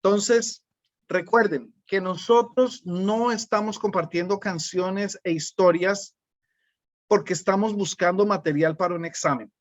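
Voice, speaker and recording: A middle-aged man with a Colombian accent presents a teaching webinar in a calm, unhurried way and often checks in with his listeners. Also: sits high, dry recording. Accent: Colombian Spanish. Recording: dull, dry, lo-fi webinar audio.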